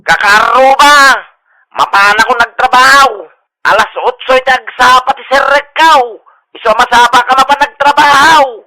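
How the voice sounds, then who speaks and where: A bright, sharp voice plays an exasperated worker in an Ilocano comedy drama, grumbling and exclaiming about nosy neighbours. The sound is close and dry. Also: high voice, moderate pace, no echo. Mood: loud, defiant.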